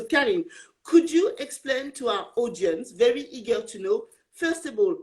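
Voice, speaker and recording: A woman's voice: a little high, poor audio. A woman hosting a live social media interview, eagerly addressing her audience and repeating phrases for emphasis. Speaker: host, interviewer.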